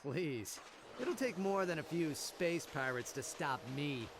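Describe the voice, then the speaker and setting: A man's voice: a little high, lively intonation, takes it slowly, noisy background. A man voicing a young, brave and earnest alien hero in a video game. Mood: dazed, determined.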